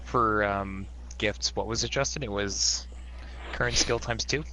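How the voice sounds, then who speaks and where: A man's voice: fairly low pitch, a little slow, sharp tone, slight background noise, rough recording. A man playing a tabletop game, casually asking questions about the rules and cursing now and then. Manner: casual, asks lots of questions, swears. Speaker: player.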